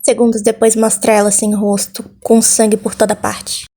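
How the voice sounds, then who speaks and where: A woman's voice: a little high, flat delivery, normal speed, mellow, breathy edge. A Brazilian woman narrating a scripted video recap and commentary, explaining things with informal asides. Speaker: video essayist.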